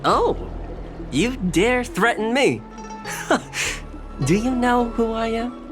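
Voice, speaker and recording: A man's voice: extremely high pitch, animated, extremely slow, music in the background, exaggerated voice. A man acting a cocky, greedy young shopkeeper in a video game, scoffing and smug with exaggerated exclamations. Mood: mocking. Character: cocky, greedy young male shopkeeper, sneering but cowardly. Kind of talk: video game character dialogue.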